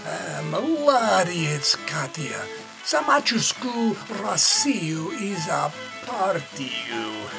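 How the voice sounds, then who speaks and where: A man's voice: a little high, big pitch swings, very slow, vocal fry, exaggerated voice. A man playing a smug, duplicitous colonel in a radio drama, dry and condescending. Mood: pleased, conspiratorial. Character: smug duplicitous male colonel, secret double agent. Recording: music behind the voice.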